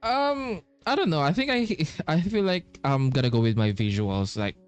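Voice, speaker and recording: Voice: mid-range voice, lively intonation, normal speed, clear, resonant tone, dry recording. A streamer giving casual, sweary, reactive gameplay commentary in a laid-back way. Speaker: streamer playing a game and reading lines aloud. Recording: game music behind the voice.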